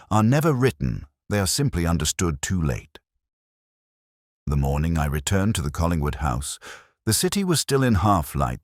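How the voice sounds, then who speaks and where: A man narrates a detective story in the first person with a measured, literary solemnity. His voice is extremely deep and rough-edged, yet moves expressively up and down. The recording is dry and close. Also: no echo.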